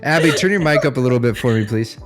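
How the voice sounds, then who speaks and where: Mid-pitched man hosting, music behind. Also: lively intonation, quick pace. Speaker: podcast host. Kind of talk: casual podcast hosting and discussion.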